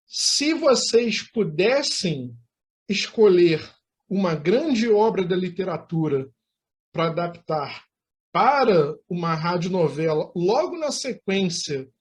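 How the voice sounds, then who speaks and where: A man's voice: sits high, sing-song, slow pace. A man with a Brazilian accent hosting an online interview in formal, wordy phrasing. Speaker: interview host.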